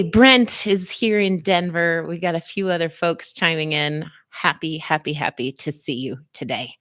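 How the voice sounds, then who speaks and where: A middle-aged woman hosting a casual live stream, playful and chatty, greeting viewers by name with high swoops in her voice. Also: no echo, clean studio sound. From United States. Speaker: livestream host, physical therapist. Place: small office.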